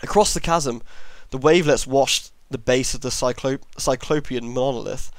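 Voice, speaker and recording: A man's voice: mid-range voice, normal speed, no room sound. A man narrating a horror story as an amateur reader, now and then stumbling over long words and correcting himself.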